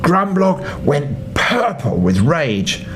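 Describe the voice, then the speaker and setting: A man's voice: fairly high pitch, big pitch swings, drawn-out words, soft background music. An older man reading a children's story aloud as a grandfatherly storyteller, in a theatrical, playful way.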